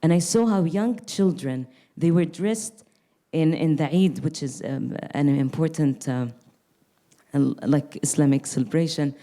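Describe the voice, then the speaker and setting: Young woman from gaza talking on a panel, low mellow voice, thoughtful, restarts a lot. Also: unhurried. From Gaza Strip. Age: young adult. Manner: reflective, earnest, with frequent restarts. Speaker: artist. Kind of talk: panel conversation.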